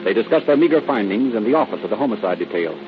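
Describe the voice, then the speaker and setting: A man's voice: lowish voice, animated, very fast. A man delivering dramatic scripted narration for an old-time radio drama. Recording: dull, old radio recording. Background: music.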